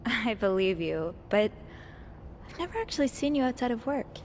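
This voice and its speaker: An actress voicing a curious, earnest, grateful young hotel maid in a naturalistic video game performance. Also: female voice, fairly high pitch, big pitch swings, normal speed, slight background noise. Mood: curious, friendly.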